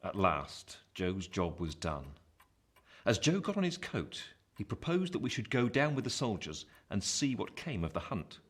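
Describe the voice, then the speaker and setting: A man's voice: fairly low pitch, big pitch swings, normal speed, fairly bright tone. An actor narrating a radio play as a grown man soberly recalling his boyhood. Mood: reflective, dramatic. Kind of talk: scripted first-person narration in a radio play. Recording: noisy background ambience.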